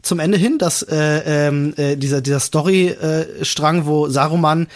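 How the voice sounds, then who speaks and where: Male podcast host, slightly high register, casual and wordy, rambles through his points. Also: measured pace.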